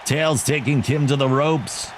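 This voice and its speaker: A man giving excitable wrestling play-by-play commentary for a video game league, joking about himself between calls. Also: medium pitch, measured pace. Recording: game crowd and noise behind the voice. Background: video game arena crowd.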